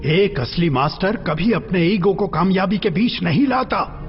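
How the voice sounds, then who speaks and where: Elderly, fat pig mentor for cartoon dub: exasperated, preachy, high and expressive, lo-fi sound. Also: male voice, a little slow. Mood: scolding. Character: stern, grumbling old fat pig master. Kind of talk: animated film dub acting. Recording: dull lo-fi audio under music.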